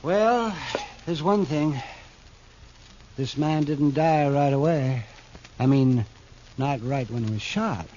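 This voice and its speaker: An actor playing an excitable, inquisitive Old West town doctor with a folksy manner in 1950s radio drama. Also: male voice, a little high, takes it slowly, pauses often. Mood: intent, explanatory. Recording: muffled, noisy vintage radio recording.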